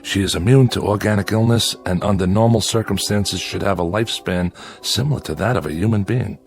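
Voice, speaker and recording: An actor in a radio drama playing a sardonic, weary convict living alone in exile on an asteroid. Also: male voice, deep voice, a little fast. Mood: reading aloud. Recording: music behind.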